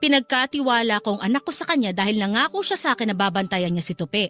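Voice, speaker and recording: A woman's voice: mid-range voice, lively intonation, fast, a bit bright, close to an everyday voice. A Filipino woman playing a cold, unforgiving older sister and grieving mother who speaks reproachfully in a radio drama. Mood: angry grief. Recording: music under the dialogue.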